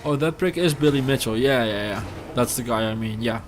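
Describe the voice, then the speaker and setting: A man's voice: mid-range voice, a little fast. A man in his thirties with a Dutch accent, chatting casually with his live-stream chat while playing a game. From Netherlands. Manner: chatty, relaxed, answers chat between game moves. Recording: game music under the voice. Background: game music.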